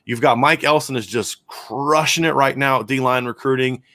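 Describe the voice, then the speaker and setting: A man with a sore, hoarse throat co-hosting a live football podcast, chatting through viewer questions and often restarting his sentences as he thinks aloud. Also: normal speed, bright, forceful tone, dry recording, studio quality. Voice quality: deep voice. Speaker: podcast co-host and football analyst. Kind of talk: casual live-stream chat answering viewer questions.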